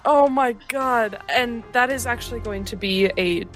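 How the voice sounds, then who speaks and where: A woman's voice: on the high side, moderate pace. A woman chatting casually as a player in a tabletop game. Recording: loud music behind voice.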